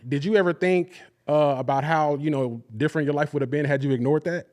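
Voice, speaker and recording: A man's voice: fairly high pitch, steady tone, quick pace, a little mellow. Laid-back male podcast host. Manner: laid-back, affirming with short "gotcha" and "mm-hmm". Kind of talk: casual interview.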